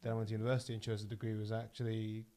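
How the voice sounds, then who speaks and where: Low mellow-voiced man from Leeds. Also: monotone. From Leeds, England. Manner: reflective, measured. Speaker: guest founder. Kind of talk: casual podcast conversation.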